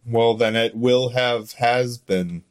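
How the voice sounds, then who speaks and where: A man's voice: medium pitch, slow. A man talking casually and off the cuff as a guest on a podcast interview. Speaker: podcast guest, audio drama creator and voice actor. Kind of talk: casual unscripted interview chat.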